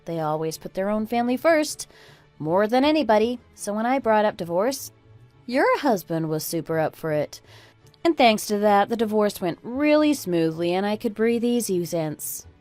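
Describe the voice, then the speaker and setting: A woman playing a fed-up, sharp-tongued married woman in a radio drama, speaking in an emphatic, scornful, lecturing manner. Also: medium pitch, very animated, moderate pace, dry recording, faint music behind, lightly acted. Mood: indignant, venting. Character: fed-up, sharp-tongued oldest daughter-in-law, a divorcing breadwinner. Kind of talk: scripted radio drama acting.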